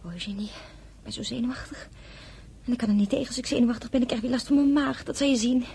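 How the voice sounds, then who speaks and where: A fretful, whiny young bride. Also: female voice, a little high, fast talker, vocal fry, dark tone, slight background noise. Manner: fretful, complaining.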